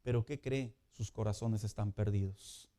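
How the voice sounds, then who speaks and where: A man preaching a sermon as pastor in a conversational way, building to loud emphatic peaks. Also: on the low side, steady tone, normal speed, clean tone.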